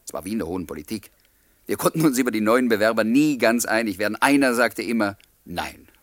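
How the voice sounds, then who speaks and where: An actor playing a courteous, pensive flat-sharer who narrates his memories in a German radio play, polite and measured, with a husky voice. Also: fairly low pitch, no room sound, clean studio sound, natural voice. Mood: wry storytelling.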